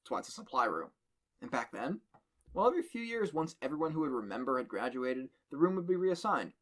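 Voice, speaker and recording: A man's voice: a little high, big pitch swings, quick pace, fairly bright tone. A young man hosting a podcast, reading a horror story aloud and stopping to joke with friends. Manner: reads the story in a plain voice, breaks off to joke and laugh. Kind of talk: casual reading and banter with friends.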